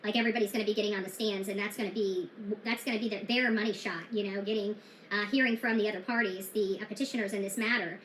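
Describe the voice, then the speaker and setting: A woman's voice: mid-range voice, fairly even intonation, fast talker, a bit bright, echoey room, slight background noise, low-quality recording. A woman who covers true crime, talking casually to her viewers, defiant and blunt, with many fillers and restarts.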